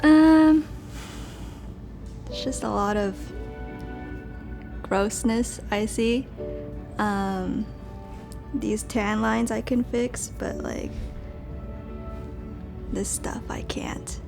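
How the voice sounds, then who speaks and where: A woman's voice: a little high, drawn-out words, vocal fry, long pauses, music in the background. A woman in her twenties speaking hesitantly and self-critically about her body. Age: 20s. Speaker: participant. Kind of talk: candid conversation.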